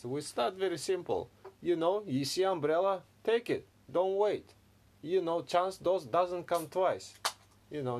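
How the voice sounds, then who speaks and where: A man playing a tough Russian hustler in improvised comedy, with a Russian accent, broken English and a deadpan, threatening manner. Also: fairly high pitch.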